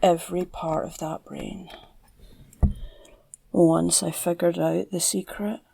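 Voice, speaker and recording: A woman performs an obsessive female crime boss with a Scottish accent in an intimate ASMR roleplay. Her voice is fairly low and full of gravelly fry, recorded close and dry. She drawls teasingly, flirtatious and unhinged, slipping into breathy murmurs. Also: steady tone, slow pace, mellow, no echo, heavily acted. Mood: obsessive, menacing. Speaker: audio roleplay voice actor. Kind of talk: ASMR villain roleplay monologue.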